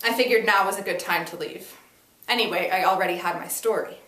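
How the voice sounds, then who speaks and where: A woman reads a first-person story as a dramatic performance. Her delivery is deliberate and emphatic, at a middling pitch. The room echoes clearly around her, and she sounds set back from the microphone. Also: a bit bright. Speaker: reader performing a dramatic reading. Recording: echoey room, distant mic.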